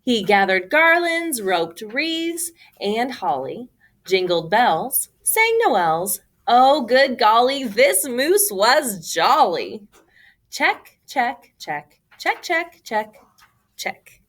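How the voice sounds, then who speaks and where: A woman reading a children's picture book aloud as a storyteller, with an expressive, emphatic, theatrical delivery. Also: on the high side, drawn-out words.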